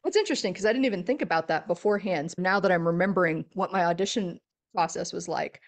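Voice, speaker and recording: A middle-aged woman with a deep, low-sitting voice chats as a podcast guest. She tells her stories with animation and an easy laugh, in a relaxed, conversational way. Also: fast talker. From Texas. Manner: animated storyteller, laughs often. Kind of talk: casual interview chat.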